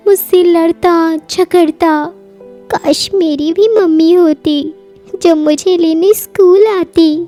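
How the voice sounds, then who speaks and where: Very high-pitched lonely little girl. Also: warm tone, full character voice. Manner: childlike, plaintive. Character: lonely, innocent ten-year-old girl, wistful. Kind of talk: Hindi children's audio storybook.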